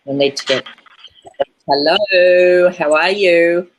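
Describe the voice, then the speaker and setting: An Australian woman hosting an informal online discussion, speaking in measured, tentative phrases. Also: lowish voice, slight background noise, poor audio. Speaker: host.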